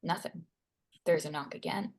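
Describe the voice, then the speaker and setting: A woman's voice: low-pitched, steady tone, moderate pace. A woman narrator reading screenplay stage directions at a table read.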